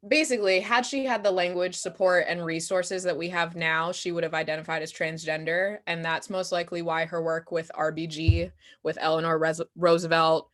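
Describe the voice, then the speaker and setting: Informal American woman, slightly low voice. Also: normal speed, hardly any pauses. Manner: giggly, animated, informal. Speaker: actor and playwright answering audience questions. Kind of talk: casual post-show talk.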